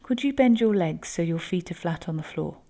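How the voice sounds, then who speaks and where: A woman instructor leading a guided breathing exercise, speaking gently and unhurriedly. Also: on the low side, lively intonation, moderate pace.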